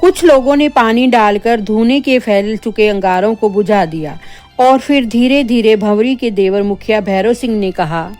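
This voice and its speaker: A woman's voice: mid-range voice, moderate pace, a little mellow. A woman narrating a scripted spooky tale for children as a storyteller. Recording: background music under the voice.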